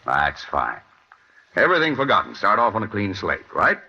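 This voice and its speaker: A man's voice: fairly low pitch, huge pitch swings, normal speed, pushed and bright, slight background noise, full character voice. An actor playing a kindly, persuasive boss in an old-time radio drama, earnest, coaxing and fatherly. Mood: earnest, conciliatory. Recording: dull vintage radio drama recording.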